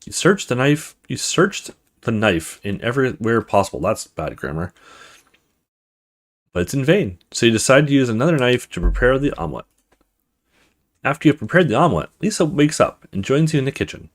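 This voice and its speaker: Man, let's play host, mid pitch, very animated range, dramatic story reading, casual asides. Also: normal speed. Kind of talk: reading a visual novel aloud with offhand commentary.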